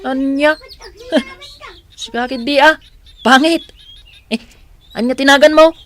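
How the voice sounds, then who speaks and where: High-pitched taunting schoolchild. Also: female voice, slow, a bit bright, a little hiss or hum. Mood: teasing, mocking. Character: mischievous, taunting schoolchild teasing a classmate. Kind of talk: Filipino radio drama acting.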